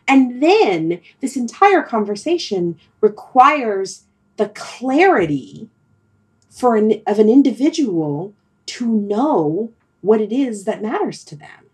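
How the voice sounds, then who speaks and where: A woman's voice: a little high, big pitch swings, takes it slowly, darkish tone, breathy edge. A woman in a casual, reflective discussion, thinking aloud and repeating words for emphasis. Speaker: podcast discussion participant.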